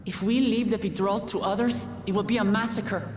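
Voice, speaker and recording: A woman's voice: medium pitch, fairly even intonation, moderate pace, darkish tone, slight background noise, natural voice. Woman playing an earnest young princess, long reverb. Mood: resolute. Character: earnest, resolute young female royal heir. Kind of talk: video game voice acting. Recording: long echo, game music behind.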